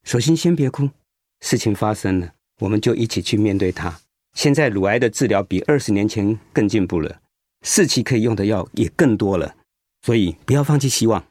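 Kind, steady, low-voiced male doctor. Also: a little fast, no echo, clean studio sound. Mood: reassuring, firm. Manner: reassuring, explanatory. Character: kind, steady male oncologist encouraging a patient. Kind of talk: Mandarin radio drama acting.

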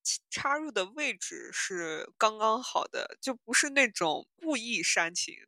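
Bright, crisp-edged woman chatting casually. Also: a little high, sing-song, unhurried, no room sound. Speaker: podcast co-host. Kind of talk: casual chat about audio dramas.

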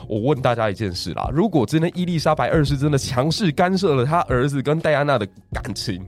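Fast animated Taiwanese man telling history. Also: mid-range voice, soft background music. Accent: Taiwanese Mandarin. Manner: animated, asks the audience rhetorical questions. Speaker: guest storyteller on history. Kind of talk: lively podcast storytelling.

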